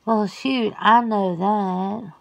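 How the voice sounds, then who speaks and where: A woman voicing a folksy, blunt, centuries-old werewolf homebody in an ASMR roleplay, suspicious and quick to exclaim. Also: medium pitch, fairly even intonation, gravelly, pitched above the performer's own voice. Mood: indignant, sassy.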